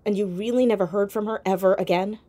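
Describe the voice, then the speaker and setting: A woman's voice: mid-range voice, steady tone, fast talker, warm tone, close to an everyday voice. Persistent teenage girl sleuth played by a woman. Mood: probing, assertive. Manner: probing, direct questioning. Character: sharp, persistent fourteen-year-old girl detective. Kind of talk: audio drama acting, naturalistic.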